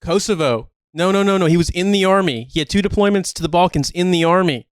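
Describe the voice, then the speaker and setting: A man's voice: high-pitched, fast talker, smooth, no echo, clean studio sound. A man co-hosting a podcast in casual banter, talking in an emphatic, incredulous, sweary way. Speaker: podcast co-host.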